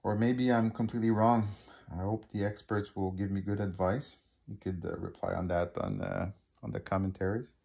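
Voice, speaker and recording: Gravelly-voiced man with Québécois French accent. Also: lowish voice, fairly even intonation, mellow, dull audio. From Quebec. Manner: halting, many fillers and restarts. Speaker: hobby unboxing vlogger. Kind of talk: casual unboxing commentary. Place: living room at a table.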